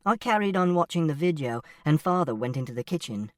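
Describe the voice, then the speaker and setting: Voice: mid-range voice, animated, fairly quick, no echo, studio quality, distant mic, lightly acted. A narrator voicing a literal-minded, earnest teenage boy who tells his story in a precise, matter-of-fact way. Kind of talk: first-person audiobook storytelling.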